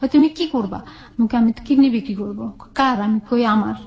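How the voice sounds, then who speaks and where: A woman's voice: on the high side, a little fast, creaky, darkish tone, muffled recording. A woman with a Bangladeshi accent telling her own life story in an interview, colloquially, often recounting conversations as dialogue. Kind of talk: candid personal storytelling in an interview.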